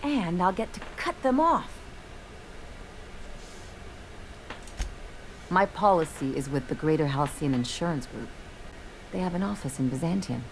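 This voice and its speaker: An actress playing a brash, bitter young adventurer in a video game, with a sardonic, theatrical way of telling stories. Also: female voice, fairly low pitch, sing-song, moderate pace, slight background noise, rough recording. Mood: scheming. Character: brash young adventurer woman, bitter, dramatic, estranged from parents.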